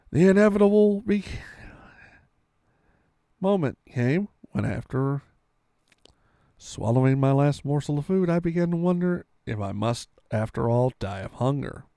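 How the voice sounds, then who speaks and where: A man's voice: medium pitch, vocal fry, a little mellow, no room sound, studio quality. A man reading a storybook aloud with dramatic, theatrical delivery, sometimes stumbling and correcting himself.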